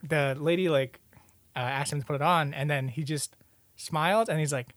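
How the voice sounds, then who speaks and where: A young man hosts a casual podcast with a highish voice in a dry recording. He tells stories in slang and swearing, acting out what people said. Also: normal speed, a bit bright, dry recording. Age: young adult. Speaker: podcast host. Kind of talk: casual chat, animated storytelling.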